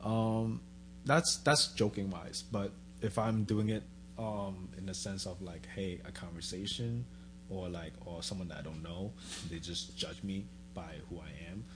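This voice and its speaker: A man in casual chat, relaxed and joking, dropping his voice low in his asides. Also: unhurried, noisy background.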